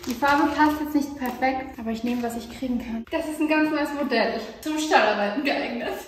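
A woman's voice: high-pitched, fairly quick. A woman in her twenties chatting casually and teasingly as a vlog host, recorded on a handheld camera. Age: 20s. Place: farmhouse, stable and farmyard, indoors and outdoors. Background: music.

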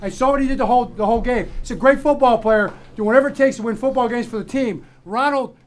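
A man's voice: extremely high pitch, fast talker. A middle-aged man, a head coach, giving an emphatic, rousing, repetitive speech to his players in a crowded locker room. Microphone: far camera mic. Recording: crowd noise, far camera mic. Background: crowd of players and noise.

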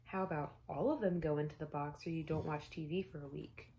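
A bossy, exasperated mother from a picture book, read by a young woman. She scolds firmly in a lowish, gravelly tone. The delivery stays close to a plain reading voice. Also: fairly even intonation, brisk, mellow, a little hiss or hum. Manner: firm and scolding.

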